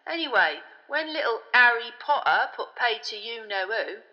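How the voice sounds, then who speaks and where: A woman's voice: on the high side, sing-song, normal speed, big-room sound, lo-fi sound, pitched above the performer's own voice. A woman voicing a chatty, easily spooked young male bus conductor in an audiobook, with colloquial speech and dramatic tag questions. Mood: gossipy. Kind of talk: audiobook character voice.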